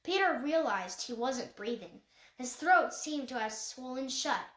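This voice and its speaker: A woman's voice: high-pitched, expressive, unhurried, a bit bright. A woman reading a children's novel aloud as scripted narration, occasionally stumbling and correcting herself. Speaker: audiobook reader. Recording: echoey untreated room.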